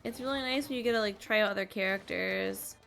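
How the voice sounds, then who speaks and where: Female streamer, casual gameplay chat, upbeat, fry in the voice, soft game music underneath. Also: medium pitch, fast. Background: faint game music.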